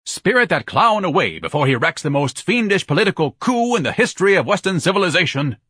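A man's voice: medium pitch, very animated, brisk, a bit bright, slightly rough, no room sound, studio quality. A man voicing a pompous, bombastic scholar with a solemn, orator-like way of talking and florid diction, in a storybook narration. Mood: alarmed. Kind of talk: audiobook character acting.

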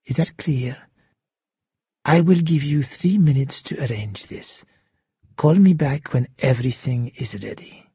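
A man voicing an angry, cold gunman in an audiobook, giving hard, clipped, often hushed threats. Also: medium pitch, a little slow, breathy edge, no room sound, clean studio sound. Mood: cold, commanding. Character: menacing, angry male gunman, cold and commanding. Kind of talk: audiobook character voice.

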